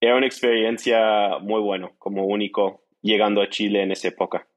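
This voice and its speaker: Un hombre de unos treinta años con acento estadounidense y el inglés como lengua materna cuenta su experiencia de forma informal en una entrevista, en un español con pequeños errores gramaticales. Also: male voice, fairly low pitch, little pitch movement, fairly quick. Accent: American. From United States. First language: English. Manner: non-native Spanish with small grammar slips. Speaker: interview guest, startup entrepreneur. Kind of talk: casual storytelling in an interview.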